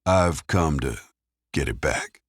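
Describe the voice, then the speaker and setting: Man playing a cold, low-voiced, enigmatic stranger. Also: animated, breathy edge, no echo, studio quality, full character voice. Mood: calm, menacing. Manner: measured, formal, chilling. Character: cold, enigmatic, soft-menacing American man of few words.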